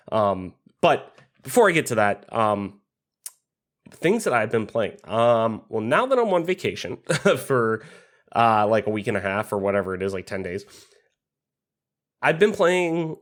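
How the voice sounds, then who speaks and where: A man hosting a gaming podcast in casual chat, his voice slightly raspy from a cold, talking in a loose, rambling way with plenty of fillers and swearing. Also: on the low side. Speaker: podcast host.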